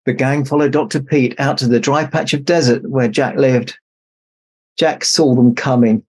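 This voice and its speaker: A man narrating a children's story aloud as an expressive storybook reader. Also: medium pitch, moderate pace. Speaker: storybook reader in Santa persona.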